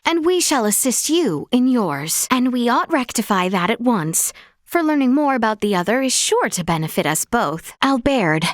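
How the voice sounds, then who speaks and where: A young crown princess from a fantasy video game. Her voice is fairly high and highly melodic, in a dry, close recording. She speaks with formal, old-fashioned courtly phrasing, earnest and gracious. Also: big pitch swings, moderate pace, no echo. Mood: composed, earnest.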